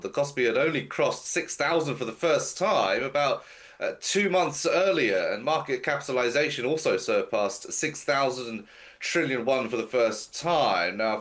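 Congested man briefing the news. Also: a little high. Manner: steady informative delivery with frequent uh fillers. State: blocked nose. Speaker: news commentator. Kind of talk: news briefing over video call. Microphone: video call.

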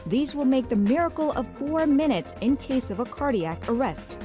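A woman reading scripted first-aid instructions in a calm, instructive way. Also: high voice, moderate pace. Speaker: narrator of a safety announcement. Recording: music behind the voice.

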